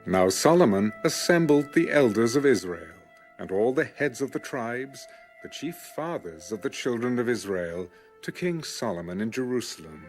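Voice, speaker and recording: A man reading scripture as a scripted audio Bible narration, in a measured, formal way. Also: medium pitch, expressive, a little mellow. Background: music under the reading.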